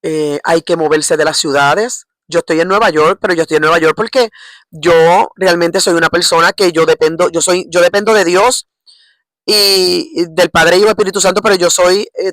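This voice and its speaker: A middle-aged woman with a Puerto Rican accent preaching informally in a halting, repetitive way, often checking for agreement with short tag questions. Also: fairly low pitch, fairly bright tone, no echo. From Puerto Rico. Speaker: lay preacher. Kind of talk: informal religious exhortation.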